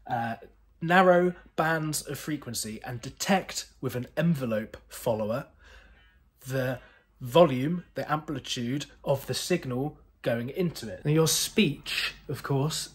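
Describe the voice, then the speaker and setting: Husky British man explaining to camera. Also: mid-range voice. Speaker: museum video host. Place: indoor museum room full of synthesizers.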